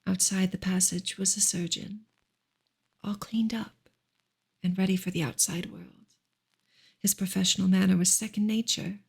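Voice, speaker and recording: A woman reading a novel softly in ASMR style, gentle and unhurried, often slipping into a whisper. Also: mid-range voice, steady tone. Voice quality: soft, breathy, often dropping into a whisper. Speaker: ASMR reader and streamer.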